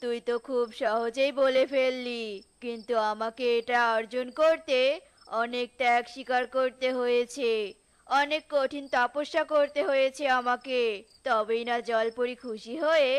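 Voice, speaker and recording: A woman's voice: steady tone, a little slow, clean tone, natural voice. Woman voicing a sly old fake holy man. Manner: commanding, condescending, booming laugh. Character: sly greedy old male holy man, smug fraud.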